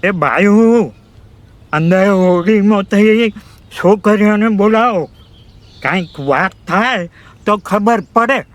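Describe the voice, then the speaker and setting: A man playing an elderly villager in a story, measured and commanding, with a rural Gujarati accent. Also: high voice, no room sound. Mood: calm, commanding. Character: elderly village man calmly giving orders.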